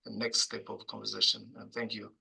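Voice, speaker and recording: A man from the Congo with a Congolese accent, introducing himself as a panelist in a webinar over a video call, halting, with many fillers and restarts. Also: on the low side, completely flat delivery, creaky, bright, forceful tone, slightly rough, low-quality recording. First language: Kinyamulenge.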